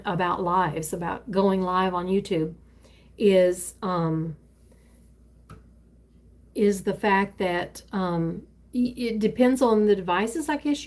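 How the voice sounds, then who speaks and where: A woman's voice: medium pitch, a little mellow. Older woman, author reading aloud, casual live chat, rambling, lots of ums, tablet mic. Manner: rambling, hesitant, many fillers and restarts. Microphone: tablet used for live streaming.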